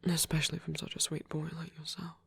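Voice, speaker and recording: A man performing a soft ASMR roleplay as a lonely, gentle old vampire priest, speaking haltingly and tenderly in formal words, breathy and often near a whisper. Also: on the high side, completely flat delivery, dry recording, exaggerated voice. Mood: hesitant, embarrassed.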